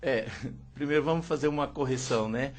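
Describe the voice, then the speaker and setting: A man's voice: medium pitch, a little fast. A man, a teacher and interpreter, explaining steadily in an interview and often adding "né". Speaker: sign language teacher and interpreter. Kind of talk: radio interview answers.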